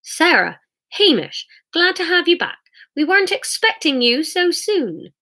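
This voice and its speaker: A woman's voice: extremely high pitch, sing-song, measured pace, pushed and bright, voice pushed up, exaggerated voice. A woman voicing a prim, nosy, slightly sheepish elderly village lady in a storybook. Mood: bright, nosy.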